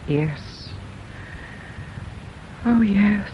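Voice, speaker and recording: An older actress playing a mother who haltingly guards an old family secret in a radio drama. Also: medium pitch, expressive, slight background noise. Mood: strained. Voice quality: hesitant, faltering. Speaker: witness. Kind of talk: 1970s-style radio drama acting. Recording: dull lo-fi radio recording.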